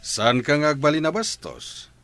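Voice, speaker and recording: A man's voice: a little high, big pitch swings, normal speed, a bit bright, soft background music. A middle-aged man acting a strict father who scolds his daughter in an audio drama. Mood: stern. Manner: firm, scolding.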